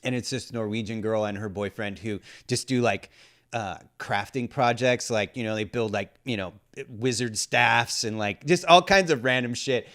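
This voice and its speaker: A man's voice: mid-range voice, moderate pace, fairly bright tone. A man hosting a podcast in casual chat, rambling with lots of fillers and the odd swear word. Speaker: podcast host.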